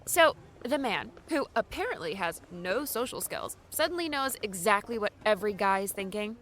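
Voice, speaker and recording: A woman's voice: medium pitch, lively intonation, moderate pace, a bit bright, a little hiss or hum, natural voice. A woman playing a feisty, blunt young woman in an audiobook, challenging and pointed in her delivery. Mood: annoyed. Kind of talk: audiobook character dialogue.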